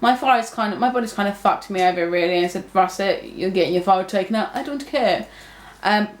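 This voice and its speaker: A woman's voice: medium pitch, brisk. Rambling young woman in an echoey room. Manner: rambling, many restarts and fillers. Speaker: vlogger. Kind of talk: casual talk to camera.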